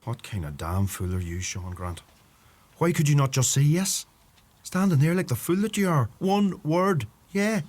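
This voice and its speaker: An actor with a Donegal Irish accent playing a brooding, awkward young farmer in a radio play. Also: male voice, a little high, lively intonation, normal speed, darkish tone. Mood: angry self-reproach. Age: young adult. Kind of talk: radio play acting with poetic monologue.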